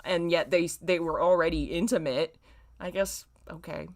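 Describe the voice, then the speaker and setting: A woman's voice: on the low side, fairly even intonation, normal speed. A woman hosting an online reading of fan fiction, narrating dramatically and breaking off with casual, self-mocking comments. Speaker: YouTube host reading fan fiction aloud.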